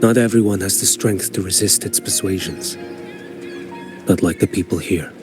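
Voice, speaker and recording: A calm, grave wandering swordsman, voiced by a man in a video game, his voice sitting somewhat low. He talks in a measured, solemn way over game music. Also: close to an everyday voice. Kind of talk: game voice acting. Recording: game audio with music underneath.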